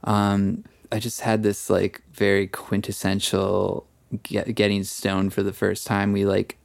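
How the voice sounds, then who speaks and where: A man in his thirties, a podcast guest, talking casually and haltingly with many fillers. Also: lowish voice, monotone, vocal fry, no echo. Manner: trained voice. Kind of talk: casual chat.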